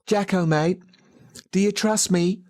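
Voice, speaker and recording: A man's voice: high-pitched, steady tone, takes it slowly, gravelly, a little mellow, voice pushed up, heavily acted. An Australian man voicing a frightened, scrappy young boy in a storybook, speaking with urgent pleading. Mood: pleading, apologetic.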